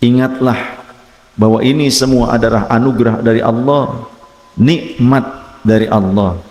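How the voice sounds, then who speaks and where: Deep-voiced Indonesian preacher in an echoey hall. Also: male voice, darkish tone. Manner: rhetorical, repetitive, deliberate phrasing. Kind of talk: patriotic religious oratory. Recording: echoey hall with long reverb.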